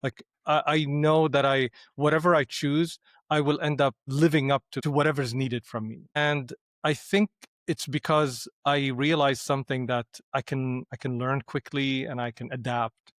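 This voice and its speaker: A man's voice: medium pitch, little pitch movement, normal speed, fairly bright tone, dry recording, clean studio sound. A middle-aged man from Syria, a podcast guest speaking reflectively with frequent restarts. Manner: thoughtful, hesitant with restarts. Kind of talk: reflective conversation.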